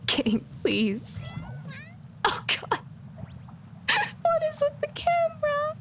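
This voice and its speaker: A woman's voice: very high-pitched, wildly swooping pitch, extremely slow. A woman giving casual, chatty live commentary as a game streamer, with playful asides. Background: faint game music.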